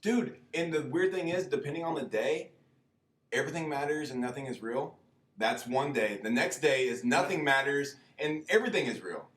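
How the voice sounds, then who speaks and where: A man co-hosting a casual podcast with a squeaky, not very deep voice, cracking sarcastic jokes and giggling. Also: lively intonation, normal speed, fairly bright tone. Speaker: podcast co-host. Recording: echoey room, a bit off-mic.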